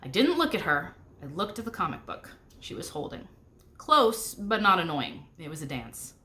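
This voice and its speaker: A woman's voice: fairly low pitch, very animated, normal speed, bright, forceful tone, slight background noise. A woman narrating a middle grade novel aloud, expressively and with a snarky first-person manner. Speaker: narrator reading a book aloud.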